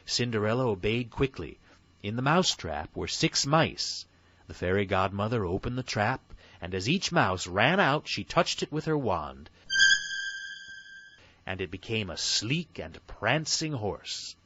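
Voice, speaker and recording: A man's voice: lowish voice, big pitch swings, unhurried, sharp tone, lo-fi sound, a little hiss or hum. A man reading a fairy tale as an audiobook narrator, in a scripted bedtime story style.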